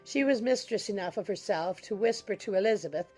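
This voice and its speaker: A woman's voice: mid-range voice, expressive, brisk, mellow, soft background music. A woman giving scripted literary narration for a dramatized audiobook.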